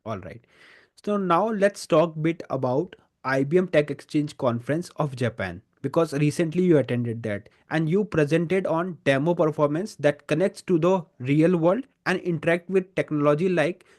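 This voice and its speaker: A man's voice: a little high, normal speed, darkish tone. A man hosting a podcast interview, asking questions politely and thanking his guest often. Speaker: podcast host.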